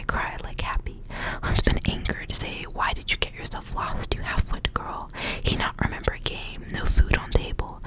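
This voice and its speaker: A woman reading a story aloud for ASMR in a breathy, unvoiced whisper, soft and hushed, in short phrases. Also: poor audio. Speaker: ASMR reader.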